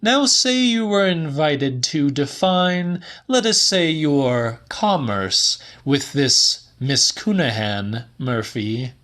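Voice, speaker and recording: Audiobook role: male reader as an impassioned professorial philosopher, raised pitch, slow emphatic declaiming. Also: lively intonation, fairly bright tone. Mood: insistent. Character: impassioned, lovesick, grandiloquent male philosopher lecturing his pupil.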